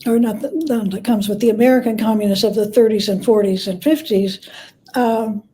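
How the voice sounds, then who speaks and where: A woman speaking as a guest scholar in an interview, explaining things conversationally in halting, thoughtful phrases with self-corrections. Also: medium pitch, steady tone, warm tone.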